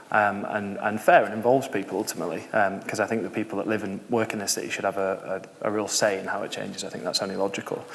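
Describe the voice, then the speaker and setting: A man from York speaks with earnest enthusiasm, pausing often on "um" as he gathers his thoughts. His voice sits a little low, and a long hall reverb trails each phrase. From York, England. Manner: earnest and passionate, many fillers. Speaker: managing director and co-founder on a panel. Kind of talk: panel introduction.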